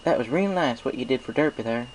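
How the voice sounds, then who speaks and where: A folksy country farm mare, put on by a man, with the pitch nudged up. She is hearty, friendly and plain-spoken, a storybook character over faint noise. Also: expressive, fast, lightly acted, poor audio.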